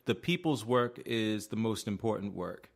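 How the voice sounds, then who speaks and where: A man co-hosting a podcast, chatting casually in a halting way, stacking "like" and restarts and swearing now and then. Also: lowish voice, expressive, creaky. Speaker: podcast co-host. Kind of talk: casual chat.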